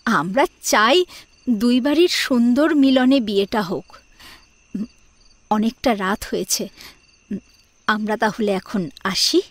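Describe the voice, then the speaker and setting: A woman acts a courteous middle-aged mother in a family audio drama. Her fairly high voice is measured, formal and a little hesitant, closely recorded with little echo. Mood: polite, measured. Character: polite, composed middle-aged lady, a suitor's mother.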